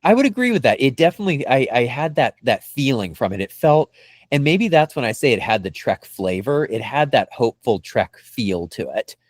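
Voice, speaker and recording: A man's voice: mid-range voice, very animated, fairly quick, no room sound, clean studio sound. A man co-hosting a podcast in casual fan discussion, enthusiastic and rambling, repeating words as he goes. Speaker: podcast co-host.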